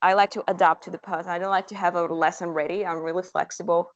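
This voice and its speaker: Young woman teacher, first language portuguese, chatty and excited, kinda dull lo-fi call sound. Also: fairly low pitch, quick pace. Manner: enthusiastic, talkative, with restarts. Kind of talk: casual interview answers.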